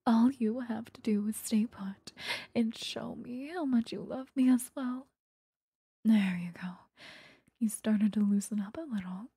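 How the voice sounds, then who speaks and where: A woman voicing an obsessive, sweetly menacing vampire lover in a breathy, whispered ASMR roleplay, close to the microphone. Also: fairly high pitch, dark tone, no echo, heavily acted. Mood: coaxing, affectionate. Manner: slow, intimate, coaxing, threatening. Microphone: close microphone, dry.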